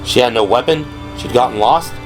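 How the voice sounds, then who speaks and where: A man's voice: mid-range voice, brisk, low-quality recording. A man reading scripted third-person narration for an audio drama. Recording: music behind the voice.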